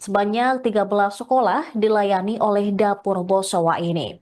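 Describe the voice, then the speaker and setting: A woman reads a scripted news report. Her voice sits at an average pitch, and she delivers it as a reporter's narration. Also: fairly even intonation, normal speed, darkish tone.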